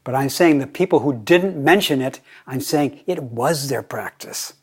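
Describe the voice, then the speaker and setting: An older American man giving a Zen dharma talk, playful and deliberate, often slowing down and repeating his phrases. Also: medium pitch, breathy edge. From United States. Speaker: Zen teacher.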